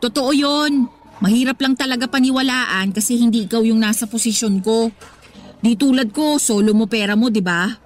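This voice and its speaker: A woman's voice: on the high side, moderate pace, natural voice. An actress with a Filipino accent playing a dramatic, exasperated young breadwinner girlfriend who vents emphatically in a radio drama. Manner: dramatic, emphatic, slangy venting. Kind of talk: Tagalog radio drama acting.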